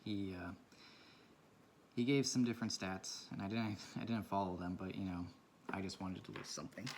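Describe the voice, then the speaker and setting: Low-voiced man, quiet rambling talk. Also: fairly even intonation, moderate pace, slight background noise. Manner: speaks quietly, rambling and reflective. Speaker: vlogger. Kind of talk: casual talk while drawing. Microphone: camera mic from a propped camera.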